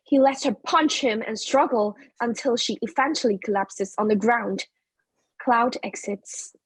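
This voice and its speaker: A woman narrates a play reading. Her voice is very breathy and husky, set a little high. She reads the stage directions slowly and plainly. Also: no echo, poor audio.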